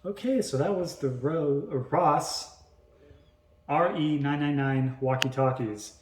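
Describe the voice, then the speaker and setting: A man's voice: a little high, slow pace, darkish tone, echoey room, rough recording. A man casually showing vintage electronics to viewers as a video host, talking informally and unscripted. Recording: faint noise, clicks of handled gear.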